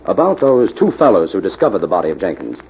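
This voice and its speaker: An actor playing a calm, methodical investigator, courteous and businesslike, in an old-time radio drama. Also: male voice, low voice, fast, full character voice. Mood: polite questioning. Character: calm, methodical male investigator, friendly and direct. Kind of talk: 1940s radio acting. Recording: noisy, muffled old radio recording.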